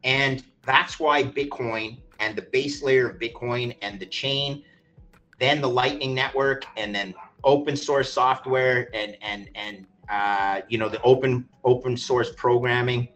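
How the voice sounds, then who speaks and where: A man's voice: mid-range voice, fairly even intonation, unhurried, fairly bright tone, lo-fi sound. A man from Canada, a native English speaker, talking as a finance expert in an interview, emphatic and evangelizing, stressing key phrases. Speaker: podcast guest, finance expert. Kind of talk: animated interview talk.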